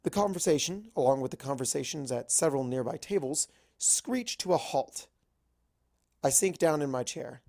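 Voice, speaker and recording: Creaky-voiced man narrating a story. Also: on the high side, lively intonation, moderate pace, mellow. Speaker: fan fiction reader. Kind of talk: expressive first-person story narration.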